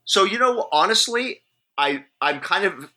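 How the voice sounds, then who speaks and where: A man on a podcast panel talking casually through his measured opinions in a rambling, hedging way. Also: high voice, animated, pushed and bright. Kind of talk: casual movie discussion.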